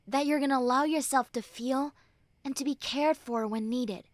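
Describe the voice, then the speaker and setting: A woman playing a normally mean young tsundere who turns tender and caring, speaking haltingly and earnestly to the listener in an intimate roleplay. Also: high-pitched, dry recording, natural voice. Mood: tender, reassuring. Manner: halting, bashful, earnest, soft and breathy when consoling. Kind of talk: intimate roleplay audio drama, spoken to the listener.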